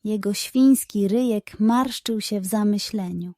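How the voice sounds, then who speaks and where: A woman narrating a scripted bedtime story for children in a measured, expressive way. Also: medium pitch, dry recording, studio quality.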